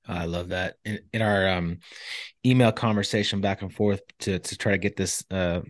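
Low-voiced, measured male host. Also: fairly even intonation, no room sound, studio quality. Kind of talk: interview question.

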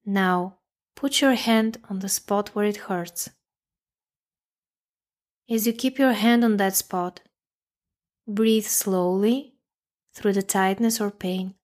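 A woman narrating a guided meditation, speaking calmly in measured phrases with long pauses. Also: mid-range voice.

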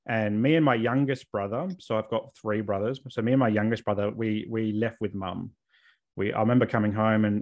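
A man speaking as an interview guest, telling his personal story in a candid, reflective and informal way. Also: on the low side. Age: adult, over 28.

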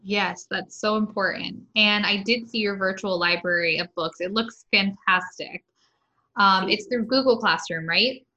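A woman hosts a casual podcast interview, her voice bright and tense. She responds warmly to her guests with quick affirmations. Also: mid-range voice, lo-fi sound. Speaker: podcast host.

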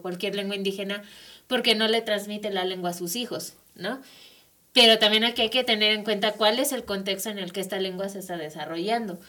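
A woman's voice: mid-range voice, pushed and bright. A Mexican woman with a Mexican Spanish accent, explaining language issues on an academic radio talk show and often checking in with tag questions. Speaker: guest speaker on language. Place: radio studio.

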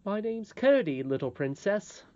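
A woman's voice: medium pitch, sing-song, unhurried, dark tone, no room sound, dull audio, voice pushed up. A woman reading a storybook aloud, voicing a confident, cheerful twelve-year-old miner boy with a frank, matter-of-fact manner.

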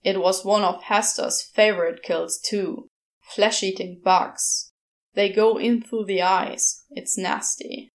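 A woman's voice: mid-range voice, steady tone, slow, voice pushed down. A woman voicing a brusque, moody male demon in a storybook reading, terse and grumbling. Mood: grim, uneasy.

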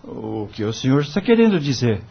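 A man's voice: medium pitch, big pitch swings, a little fast, vocal fry, slightly rough, highs cut off, exaggerated voice. An actor playing an earnest, discouraged young male lawyer in a radio drama, respectful and pleading, with a Brazilian accent. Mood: doubtful.

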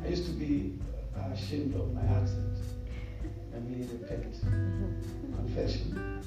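A man's voice: mid-range voice, slow pace. A man with a Kenyan accent preaching a sermon, joking and calling out to the congregation. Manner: lively, joking, call-and-response with the congregation. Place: church hall. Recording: echoey hall from far off, music behind.

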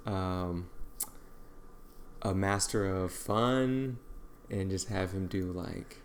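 A man's voice: low-pitched, extremely slow, slight background noise. A young man co-hosting a podcast, rambling and improvising jokes with many fillers. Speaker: podcast co-host. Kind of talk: casual chat.

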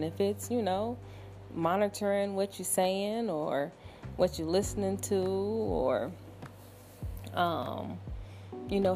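A woman in her thirties talks slowly and easily on her own podcast, in a soft, smooth voice. Her tone is mellow and a little low, and she fills the pauses with ums and small laughs. Faint music plays behind her. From Cincinnati, Ohio. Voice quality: soft smooth late-night voice. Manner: relaxed, chatty, with frequent fillers and soft laughs. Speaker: podcast host. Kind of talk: casual solo podcast talk. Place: at home at night.

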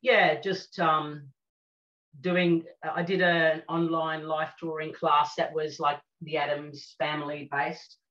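Bright, chatty woman on a lo-fi call. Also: low voice, level tone, slow. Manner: chatty, rambling with many fillers. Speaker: guest artist and cabaret singer. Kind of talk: casual video-call interview chat. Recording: lo-fi lockdown call audio.

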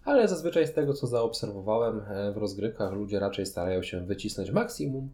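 A man's voice: lowish voice, expressive, fairly quick, mellow. A man talking as a podcast host, reviewing board games conversationally in long, explanatory sentences.

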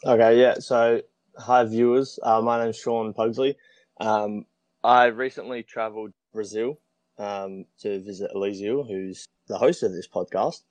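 Young Australian man, low voice, dry sound. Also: unhurried, no room sound. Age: young adult. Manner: relaxed, hesitant, lots of "um". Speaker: podcast guest. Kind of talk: casual chat.

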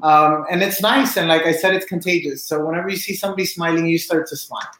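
A young man from Cuba answers interview questions in a friendly, chatty way, with lots of ums. His voice sits fairly high for a man. The room is echoey. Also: fast, a bit bright, slight background noise. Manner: upbeat, chatty, many fillers. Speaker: bartender and real estate agent.

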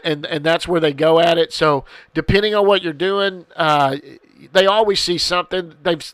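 A man's voice: fairly high pitch, a bit bright, no room sound. A middle-aged man hosting a podcast, answering listener questions in a rambling, repetitive casual chat, with a stuffed-up head-cold voice. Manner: rambling, repeats himself, frequent restarts. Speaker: podcast host.

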